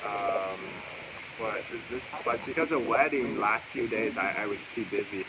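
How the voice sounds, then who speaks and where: A man with a loud voice hosting a casual outdoor livestream from a roadside cafe, holding the phone camera himself. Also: lowish voice, normal speed, very noisy. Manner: loud voice, chatty and easygoing. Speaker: livestream host. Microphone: handheld phone camera.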